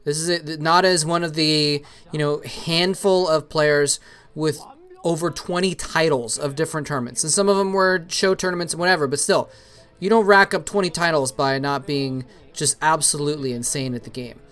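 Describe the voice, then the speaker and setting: A man's voice: a little high, steady tone, normal speed, a bit bright, clean tone. A young man hosting and commentating an old esports match solo, chatty and rambling with many fillers, lighting up at the plays. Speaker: esports commentator, show host.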